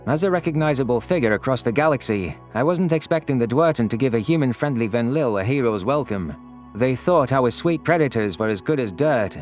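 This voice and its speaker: Mid-pitched man narrating with faint music. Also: darkish tone. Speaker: narrator of audio stories. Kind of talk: scripted first-person story narration.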